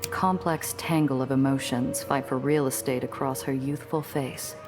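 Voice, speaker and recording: A woman's voice: rumbling low, steady tone, normal speed, music in the background. An actress playing a wry, streetwise woman protagonist in a narrative video game, with a dry delivery. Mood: observant, wry.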